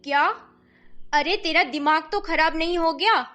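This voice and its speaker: A woman playing a bossy, exasperated middle-aged Indian mother in an animated family melodrama, speaking emphatically. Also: very high-pitched, measured pace, soft background music. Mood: shocked, angry.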